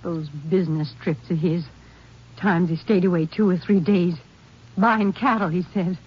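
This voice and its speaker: An actress playing a frightened, distraught rancher's wife, pleading and suspicious, in an old-time radio western. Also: female voice, fairly low pitch, fairly even intonation, normal speed, husky. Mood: hurt, suspicious. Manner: overwrought, pleading delivery. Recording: muffled vintage radio recording.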